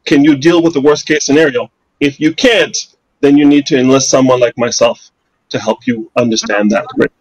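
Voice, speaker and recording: A man's voice: mid-range voice, steady tone, moderate pace, highs cut off. A man from Canada, a financial advisor answering interview questions confidently and persuasively with rhetorical questions.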